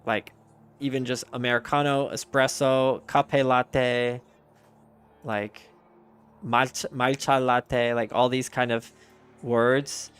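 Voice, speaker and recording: A man's voice: mid-range voice, little pitch movement, takes it slowly, a bit bright, clean tone, soft background music. A man streaming a game and chatting casually with his viewers, answering their messages aloud in a rambling way with frequent restarts.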